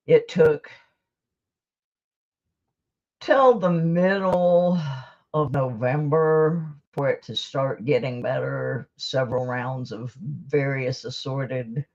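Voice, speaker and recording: A woman's voice: rumbling low, takes it slowly, long pauses, a little mellow. A woman hosting a live stream, chatting casually and rambling to her viewers in a folksy, self-deprecating way, on a dull lo-fi recording. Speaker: livestream host.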